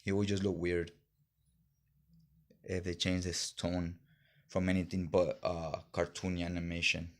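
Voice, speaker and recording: A man's voice: deep voice, little pitch movement, a little slow, long pauses. A man chatting casually in slangy, informal fan talk as he reviews things for a vlog. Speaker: comic book haul reviewer.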